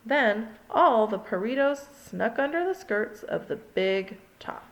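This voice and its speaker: A woman reading a children's picture book aloud in an expressive, sing-song read-along style. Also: on the high side, takes it slowly, a little hiss or hum, low-quality recording. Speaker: storybook reader.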